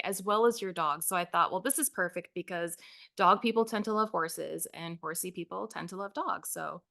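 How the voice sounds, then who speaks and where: An enthusiastic woman hosting a podcast, gushing as she introduces her guest and asks questions. Her voice sits at an ordinary middle pitch. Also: pushed and bright. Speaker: podcast host. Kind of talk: scripted intro then casual interview.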